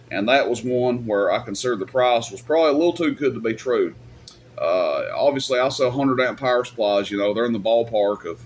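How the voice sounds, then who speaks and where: A man's voice: medium pitch, a little hiss or hum. A man giving casual, off-the-cuff advice in a rambling, plain-spoken, colloquial way, like a YouTube host. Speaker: YouTube host and radio equipment seller.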